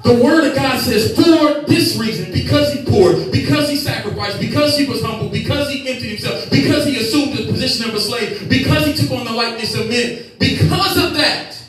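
A man's voice: very high voice, fairly quick, hardly any pauses, faint music behind. A young man preaching a sermon on a church stage with a handheld mic, building passion through repeated refrains as he moves and gestures. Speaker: pastor. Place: church stage with lit screens, indoors. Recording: echoey room from a distant camera.

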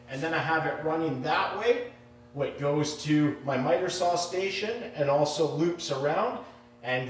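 Male hobby woodworker, thirties, informal vlog delivery; roomy workshop sound with some noise behind him. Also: a little high. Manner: informal, enthusiastic, slangy. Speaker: hobby woodworker giving a shop tour. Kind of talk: casual unscripted vlog chat. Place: garage-sized woodworking shop, indoors. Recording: echoey room with background noise and clicks.

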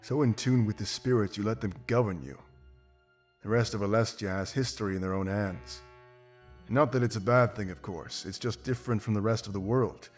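An actor playing a confident, pragmatic wolf mercenary in a video game, blunt and self-assured. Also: male voice, lowish voice, moderate pace, natural voice. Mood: reflective. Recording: music behind. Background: game music.

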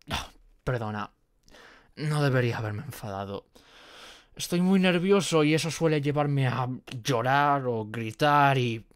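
A man playing a nervous, gentle gorgon who works as an artist, with a hesitant, flustered way of talking in an audio roleplay. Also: medium pitch, no echo, clean studio sound. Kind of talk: dramatic ASMR roleplay monologue.

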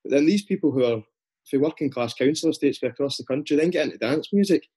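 A man in his thirties hosting a podcast, setting up points and prompting his guest over a video call from a bright room at home. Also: fairly high pitch, animated, quick pace, breathy edge. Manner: leading questions, short backchannels. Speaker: podcast host. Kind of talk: casual interview. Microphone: laptop webcam on a video call.

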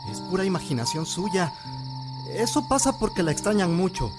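Man acting a grieving, higher-voiced Mexican father. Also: big pitch swings, normal speed, music in the background. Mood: shocked. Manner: plaintive, emotional, hesitant. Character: grieving, bewildered widowed father of young children. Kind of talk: audio drama acting.

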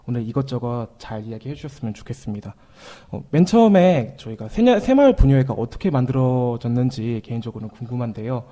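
Mellow-voiced young man hosting radio. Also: mid-range voice, animated, fast, hardly any pauses. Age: 20s. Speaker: radio host. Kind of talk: community radio interview.

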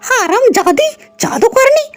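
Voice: very high-pitched, sing-song, a little slow, heavily acted. A performer voicing a spiteful, scheming female character in a cartoon fable, exclaiming and scolding, with music behind. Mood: spiteful, plotting.

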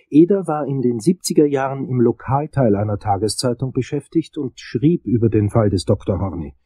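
An actor playing a wry, world-weary investigator who narrates his case in a crime audio drama, husky and dry in delivery. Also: lowish voice, warm tone, no room sound.

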